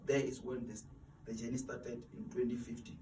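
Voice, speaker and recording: A man's voice: mid-range voice, little pitch movement, normal speed. Man in his twenties, earnest interview guest, stumbles and repeats words, roomy echo, mic set back. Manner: earnest, repeats words when searching for them. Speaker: interview guest, student mentor. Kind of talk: motivational interview talk. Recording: echoey room, distant mic, faint noise.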